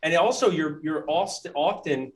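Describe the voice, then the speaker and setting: A man, a dentist hosting a casual interview, talking in an animated way and jumping in with quick asides. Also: fairly high pitch. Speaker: dentist and podcast host.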